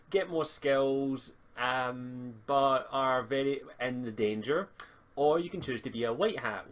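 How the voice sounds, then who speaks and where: A man acting as game master, explaining roleplaying rules to players on an online voice call. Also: medium pitch. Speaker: game master and stream host. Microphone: voice call on a live stream.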